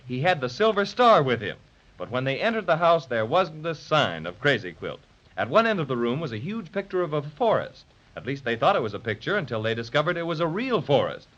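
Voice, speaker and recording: An actor serving as narrator, a lively storyteller recapping in scripted 1930s children's radio style. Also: male voice, fairly high pitch. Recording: dull 1930s radio transcription.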